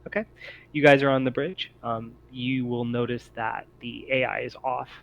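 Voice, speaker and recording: A man's voice: medium pitch, fairly even intonation, moderate pace, vocal fry, lo-fi sound. A man narrating a tabletop role-playing game as game master, explaining things informally.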